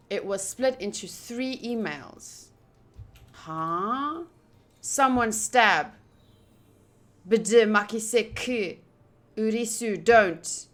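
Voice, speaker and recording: Slow-paced woman reading a game aloud. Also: medium pitch, fairly bright tone. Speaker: streamer reading a visual novel aloud. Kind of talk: playthrough narration with chatty asides.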